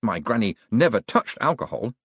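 A man voicing a quick-witted, breezy rogue of a banker in an audiobook, casual and dryly ironic. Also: on the low side, very animated, fairly bright tone, breathy edge, no room sound, studio quality, full character voice.

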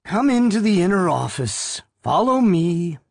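A woman's voice: on the low side, very animated, a little slow, vocal fry, a little mellow, no room sound, clean studio sound, pitched above the performer's own voice, full character voice. A woman reader voicing a stern, irritable middle-aged chief librarian in a harsh, mocking, imperious manner for a story. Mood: commanding.

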